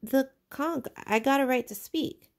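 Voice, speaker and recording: A woman voicing an indignant, frightened schoolboy in a storybook, protesting plaintively in rough colloquial grammar. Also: high voice, a little slow, pitched above the performer's own voice. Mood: pleading. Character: indignant, frightened, put-upon schoolboy, pleading to be heard.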